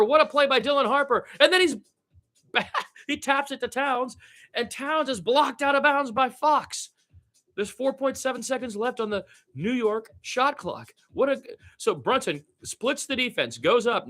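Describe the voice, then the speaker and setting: A man calling basketball as a partisan radio play-by-play announcer, blunt and opinionated, animated in his delivery and repeating phrases for emphasis. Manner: animated, swinging from low asides to loud high calls.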